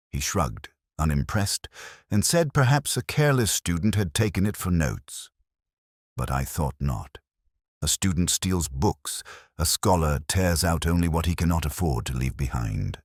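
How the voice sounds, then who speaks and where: A man playing a brooding, scholarly middle-aged detective who narrates his mystery case in a measured, literary, melancholy way. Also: sits low, no echo, studio quality. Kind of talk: scripted first-person mystery narration.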